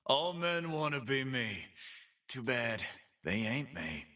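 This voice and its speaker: An actor playing a cocky, streetwise pit-fighting boss, boastful and sardonic, in video game voice lines. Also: male voice, medium pitch, takes it slowly, distant mic, heavily acted. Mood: cocky deadpan. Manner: streetwise, boastful, deadpan wisecracking. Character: cocky male pit-fighting boss, brawny half-beast with sardonic swagger.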